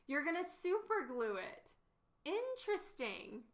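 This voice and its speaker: High, bright, giggly woman chatting slowly. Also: gravelly. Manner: chatty, giggles often, answers chat questions. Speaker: artist live-streaming a painting session. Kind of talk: casual chat with viewers while painting.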